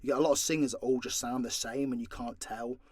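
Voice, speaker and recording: A man's voice: medium pitch, fairly even intonation, quick pace, darkish tone, no echo, studio quality. A British man chatting casually and off the cuff as he answers fan questions, with a blunt, sweary, jokey manner. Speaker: audio drama creator and voice actor answering fan questions. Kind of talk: casual unscripted Q&A chat.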